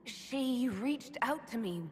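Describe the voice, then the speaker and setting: A shaken young-sounding captive in a fantasy game, with a fairly high female voice. She is hesitant and earnest, questioning what she hears, in a long reverberant space. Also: expressive, takes it slowly, a little mellow. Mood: shaken, confused. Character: bewildered captive woman, shaken but earnest and resolute. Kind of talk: dramatic fantasy video game acting.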